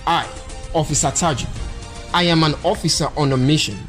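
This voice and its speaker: An actor with a Nigerian accent playing a righteous, earnest officer in a radio drama, speaking in an oratorical way. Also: male voice, fairly high pitch, fairly quick, music in the background, close to an everyday voice. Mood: solemn, impassioned. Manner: oratorical, declamatory. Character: righteous, earnest male officer preaching national morality.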